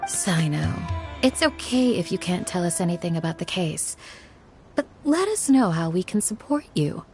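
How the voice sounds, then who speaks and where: Earnest reassuring guardian. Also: female voice, lowish voice, big pitch swings, moderate pace, darkish tone. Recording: game music behind.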